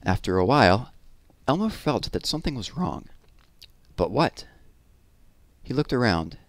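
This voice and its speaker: A man in his thirties reading a children's story aloud expressively, with deliberate pauses and drawn-out words, on a headset mic. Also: medium pitch, sing-song, no room sound. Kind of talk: children's read-aloud narration. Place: small room at home, indoors.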